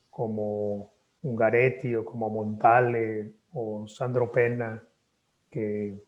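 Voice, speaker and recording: A man, a poet and native Spanish speaker, speaking thoughtfully at a book presentation with measured hesitations. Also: medium pitch, a little mellow. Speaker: poet and author. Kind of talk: reflective talk at a book presentation.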